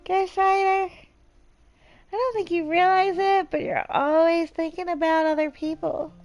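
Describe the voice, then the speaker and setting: A woman voicing a sweet, clumsy, sentimental teenage girl in a visual novel read-aloud, with soft, earnest delivery. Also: extremely high pitch, steady tone, unhurried, dull audio, faint music behind, voice pushed up. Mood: fond.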